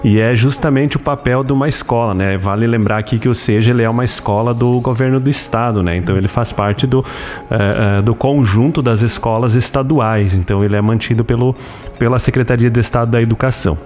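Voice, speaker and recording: A man in his thirties with a Brazilian accent explaining things as an interview guest, haltingly, with frequent tag questions. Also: mid-range voice, darkish tone, soft background music. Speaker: interview guest, school administrator.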